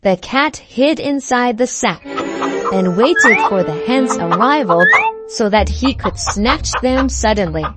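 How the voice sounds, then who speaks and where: A woman reading scripted fables for children in a measured, expressive storybook manner. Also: a little high, over loud music.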